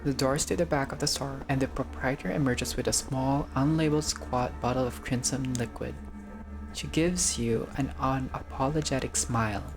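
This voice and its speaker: A man's voice: medium pitch, steady tone, normal speed, music in the background, low-quality recording. A man narrating a visual novel playthrough, reading the text aloud and adding casual commentary as a gamer.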